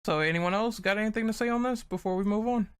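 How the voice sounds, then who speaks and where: A man's voice: sits high, quick pace, clear, resonant tone. A man hosting a casual panel discussion, speaking in an informal, opinionated way. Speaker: podcast host.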